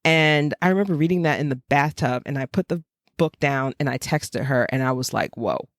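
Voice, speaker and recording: An American woman with a low voice co-hosts a literary podcast in a casual, conversational style. The sound is dry, with no room echo. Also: fairly quick. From Jacksonville, Florida, USA. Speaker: podcast co-host.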